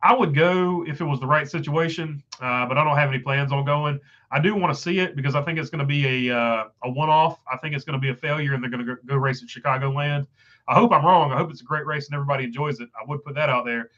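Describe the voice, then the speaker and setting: A man's voice: on the high side, quick pace, fairly bright tone, dull audio. A stuffed-up man with a failing voice, hosting a casual livestream and rambling through viewer comments with many fillers. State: stuffed up, voice going. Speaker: livestream host reading chat. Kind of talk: casual live chat.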